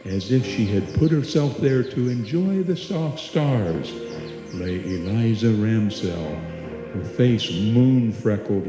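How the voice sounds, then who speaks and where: A man's voice: medium pitch, close to an everyday voice. A man narrating an old time radio suspense tale as a solemn, dramatic storyteller. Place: live stage performance in a hall. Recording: echoey live hall, music behind.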